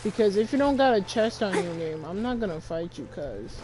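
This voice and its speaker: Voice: medium pitch, expressive, fairly quick. A female streamer chatting casually over gameplay in a slangy, grumbling way. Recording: clear background noise.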